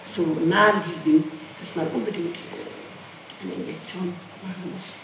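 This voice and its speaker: A woman's voice: fairly low pitch, fairly even intonation, measured pace, a bit bright. Woman, questioned suspect, defensive, emphatic answers, echoey room, noisy archival tape. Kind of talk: answering a formal interrogation.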